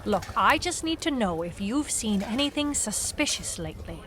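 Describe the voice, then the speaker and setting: A woman playing a wry, dogged private eye in a noir audio drama, speaking in clipped, deadpan phrases. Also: fairly high pitch, normal speed. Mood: probing.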